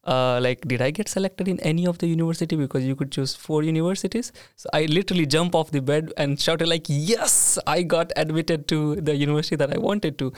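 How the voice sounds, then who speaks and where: A man hosting a relaxed podcast conversation, friendly and a little hesitant, with frequent filler words. His voice sits on the higher side for a man and sounds smooth and clean. The room is dry, with a little quiet music underneath. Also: brisk, few pauses, no echo. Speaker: podcast host. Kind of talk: casual hosted chat.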